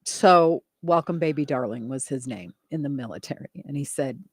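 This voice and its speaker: A radio co-host in casual on-air chat, talking back and forth quickly with many interjections. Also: mid-range voice, lively intonation, dry recording.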